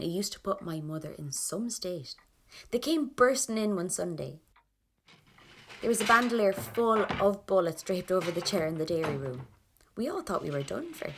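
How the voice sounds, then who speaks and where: An actress playing a nervy, plain-spoken young Irish rebel woman, telling her story in an intimate first person with an Irish accent. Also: lowish voice. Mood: wry, tense. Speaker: narrator in an audio drama. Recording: clear background ambience noise.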